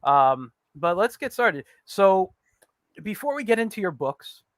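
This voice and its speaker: A man in his forties hosts an online interview show, chatty and upbeat with frequent "um"s. His voice is a little higher than most men's, smooth and resonant. The sound is dry and close. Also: sing-song, a little slow, no echo. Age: 40s. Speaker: host.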